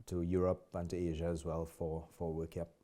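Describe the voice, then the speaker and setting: A man's voice: low-pitched, monotone, a little fast, mellow. A middle-aged man with a Trinidadian accent, a podcast guest talking politely and unhurriedly, with frequent ums. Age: 40s or 50s. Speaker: guest, martial arts instructor. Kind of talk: casual interview.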